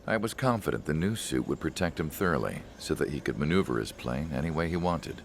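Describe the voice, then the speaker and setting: An actor playing a brooding military research scientist, narrating a game cutscene in a grave, clinical, reflective way with a gravelly voice. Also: male voice, rumbling low, animated, brisk, noisy background. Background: loud music.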